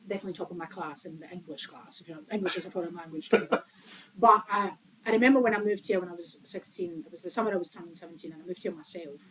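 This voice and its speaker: Middle-aged woman, low-ish voice, chatty, many fillers, laughs often, crowd chatter behind. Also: quick pace, poor audio. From Italy. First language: Italian. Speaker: bakery founder, guest. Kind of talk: casual interview chat. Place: inside a busy bakery.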